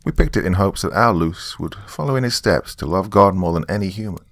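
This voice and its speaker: A man voicing a gentle, tired mother who explains things in an earnest, apologetic way in a narrated story. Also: low-pitched, animated, brisk, dry recording.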